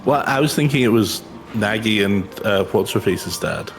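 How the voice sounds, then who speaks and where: A man's voice: lowish voice, animated, normal speed, creaky. A man chatting casually as co-host of a game stream. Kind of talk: casual chat. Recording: music in the background. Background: game music.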